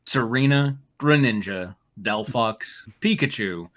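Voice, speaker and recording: A man's voice: mid-range voice, expressive, takes it slowly, fairly bright tone. A man narrating a story as a scripted reading, with casual comic asides.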